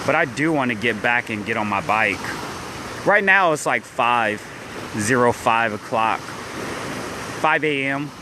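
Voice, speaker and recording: A young man from central Illinois talks in a loose, rambling way, restarting sentences and leaning on "like." He speaks at a middling pitch into a phone held as he walks along the shore. Loud surf and waves wash steadily behind his voice. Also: animated, unhurried, pauses often, background noise. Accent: downstate Illinois. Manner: rambling, many restarts and "like" fillers. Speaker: vlogger. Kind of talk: casual livestream chat. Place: beach at dawn, outdoors by the waves. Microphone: phone held while walking.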